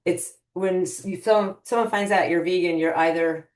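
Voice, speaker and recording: A woman's voice: fairly low pitch, moderate pace. A woman in her fifties, a guest on a podcast, chatting casually and telling stories with lots of restarts and acted-out quotes. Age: 50s. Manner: animated storyteller, many restarts and acted quotes. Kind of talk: casual interview chat. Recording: roomy echo.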